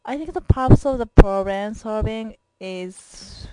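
A woman's voice: medium pitch, unhurried, warm tone, smooth, dry recording, poor audio. A young Japanese woman, a college student whose first language is Japanese, speaks English with a Japanese accent as she introduces herself to her class. She talks carefully and earnestly, with simple phrasing and small grammar slips. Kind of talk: self-introduction recording for class.

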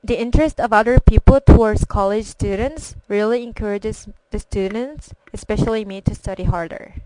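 A woman's voice: medium pitch, moderate pace, dark tone, slight background noise, poor audio. A young woman from Korea, a college student with a strong Korean accent whose first language is Korean, reading a personal introduction carefully. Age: young adult.

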